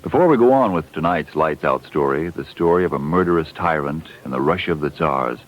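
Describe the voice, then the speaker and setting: A man's voice: very deep voice, animated, fairly quick. A man announcing in a polished, emphatic scripted 1940s radio commercial style. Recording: dull, lo-fi 1940s radio recording.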